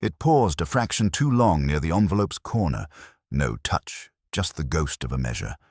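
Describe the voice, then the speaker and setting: Very deep, husky male storyteller. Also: big pitch swings, moderate pace, darkish tone, no room sound, studio quality. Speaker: audiobook narrator. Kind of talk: scripted detective story narration.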